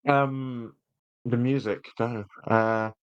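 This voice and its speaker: A man's voice: mid-range voice, steady tone, extremely slow, smooth, dry recording, highs cut off. A man co-hosting a casual review chat, talking in a jokey, teasing way. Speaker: podcast co-host.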